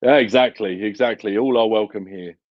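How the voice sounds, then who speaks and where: A man's voice: fairly low pitch, a little fast, no echo. A man co-hosting a live football watchalong, chatting casually with wry joking asides. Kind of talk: casual live-stream chat. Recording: dull lo-fi stream audio.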